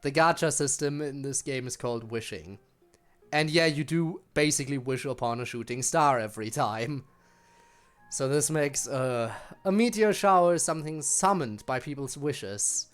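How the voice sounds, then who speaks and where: A woman's voice: extremely low pitch, moderate pace. A woman streaming a game, talking casually and informally to viewers as they watch along. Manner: chatty, informal, addressing viewers. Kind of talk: casual livestream commentary, reading game dialogue aloud. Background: game music.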